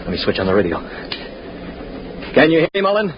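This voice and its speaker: An actor playing an urgent, commanding leader of spaceship prisoners, emphatic and intense, in the style of 1950s radio drama. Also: male voice, a little high, sing-song, brisk. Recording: muffled, noisy 1950s radio recording.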